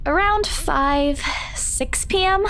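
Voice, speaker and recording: High-voiced, feisty young woman. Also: takes it slowly, a bit bright, heavily acted. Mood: casual. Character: feisty, easily flustered young professional woman. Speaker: game voice actor. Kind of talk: visual novel game voice acting.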